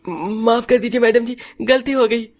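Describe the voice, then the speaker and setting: A meek office servant for a cartoon. The voice is pushed well up in pitch. He sounds timid, servile and eager not to offend. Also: unhurried, soft background music. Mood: frightened, apologetic. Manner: meek, deferential.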